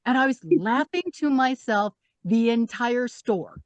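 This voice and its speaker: Breezy high-voiced woman storyteller. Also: animated, measured pace. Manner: breezy, self-amused anecdote telling. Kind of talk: casual storytelling.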